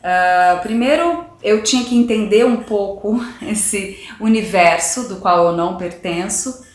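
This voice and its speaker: A woman's voice: mid-range voice, a little slow, echoey room. A woman actress talking enthusiastically and thoughtfully about playing her role, with frequent restarts. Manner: enthusiastic, reflective, hesitant restarts. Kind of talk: interview talk.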